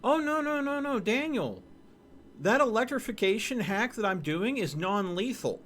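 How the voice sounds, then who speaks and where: Animated, high-voiced male gamer. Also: moderate pace, slight background noise. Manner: animated, joking, talks to chat. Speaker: streamer. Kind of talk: casual gameplay commentary.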